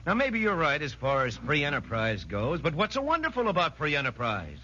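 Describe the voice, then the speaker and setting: An old, muffled radio drama recording. A brash American man heckles in a high, sharp voice, blunt and skeptical, with big swings of pitch. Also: wildly swooping pitch, fairly quick, heavily acted. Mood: scornful, challenging. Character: brash, skeptical average American working guy. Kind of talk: 1940s radio drama acting. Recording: muffled 1940s radio broadcast.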